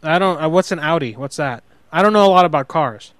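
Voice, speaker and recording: A man's voice: fairly high pitch, lively intonation, fairly bright tone, dry recording, clean studio sound. A man playing a hot-headed, sheepish husband in an improvised prank phone call, rambling and justifying himself as he goes. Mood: stubborn, apologetic. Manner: halting, rambling, self-justifying.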